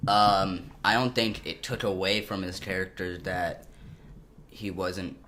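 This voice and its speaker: A man's voice: low voice, flat delivery, takes it slowly, bright, forceful tone, slight background noise. A young man co-hosting a casual chat, rambling and losing his train of thought. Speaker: co-host.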